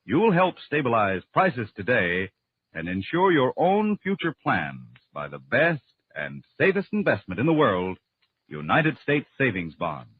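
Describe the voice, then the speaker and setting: A man's voice: mid-range voice, big pitch swings, a little slow, husky, dry recording. A man doing dramatic, emphatic scripted announcing and narration in a 1940s radio style. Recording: tinny narrow-band old radio broadcast.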